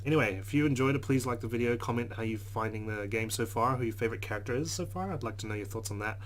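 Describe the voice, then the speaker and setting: A man's voice: medium pitch, fast talker, slight background noise. A man hosting a let's play, reading a visual novel's text aloud dramatically and adding his own commentary.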